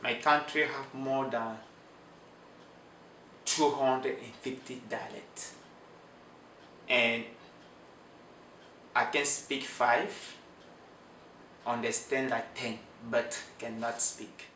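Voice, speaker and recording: A young man from Cameroon with a Cameroonian French accent, answering interview questions in a slow, halting way with many pauses and restarts. French is his first language. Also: mid-range voice, steady tone, bright, forceful tone, roomy sound, recorded from a distance, a little hiss or hum.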